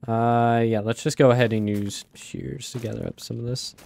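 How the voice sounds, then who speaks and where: A young man with a raspy voice from a cold, rambling casually with many fillers as he plays a game. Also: medium pitch, steady tone, normal speed, a little mellow. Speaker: let's play host. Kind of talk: casual gameplay commentary.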